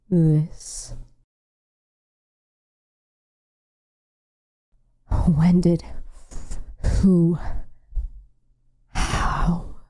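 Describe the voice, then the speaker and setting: A woman plays a protective, proud witch with a lowish and very dark, soft voice. She speaks slowly and formally, with dramatic weight, and often sinks into a breathy whisper as in an intimate ASMR roleplay. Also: no room sound. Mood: shocked, indignant. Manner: formal, measured, dramatic delivery. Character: protective, proud, frustrated witch, tender toward her lover.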